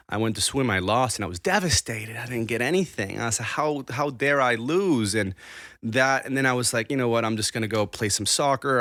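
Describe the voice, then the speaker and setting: A man's voice: lowish voice, gravelly, a bit bright, no room sound, studio quality. A man in his thirties from Argentina, a podcast guest talking casually in a rambling, self-interrupting way. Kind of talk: casual conversation.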